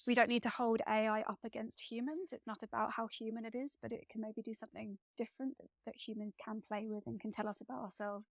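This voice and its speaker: A woman's voice: fairly high pitch, level tone, quick pace, gravelly, hardly any pauses, dry recording, studio quality. A woman being interviewed as a guest author, thinking aloud conversationally, restarting and restating phrases as she reasons.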